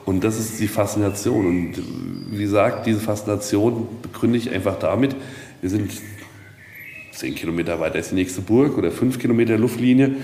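Low-voiced man in an echoey space. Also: brisk, warm tone. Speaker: castle leaseholder, interviewee. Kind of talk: casual interview storytelling. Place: at a hilltop castle, reverberant. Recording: echoey, long reverb, noisy ambience.

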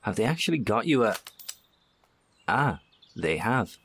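Low voiced earnest survivor, tired but steady, horror audio drama. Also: male voice, a little slow, a little hiss or hum, full character voice. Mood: alarmed. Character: steady, weary, earnest male survivor on the road.

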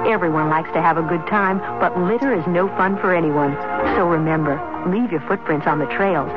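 A man reading a scripted public service announcement on the radio. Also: high-pitched. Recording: muffled radio broadcast, loud music.

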